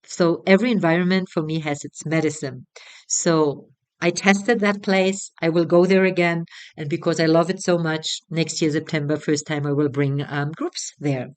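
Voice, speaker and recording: Soft-spoken German-accented woman, low voice. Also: fairly even intonation, clear, resonant tone. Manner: soft, halting, with repeated words and restarts. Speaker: retreat facilitator. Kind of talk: casual spoken promotional talk.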